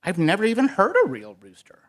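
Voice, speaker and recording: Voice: big pitch swings, fairly quick. A storyteller voicing a shy young rooster who doubts himself and hesitantly tries to crow, in an animal storybook. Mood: dejected, unsure. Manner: hesitant, then crowing high and loud.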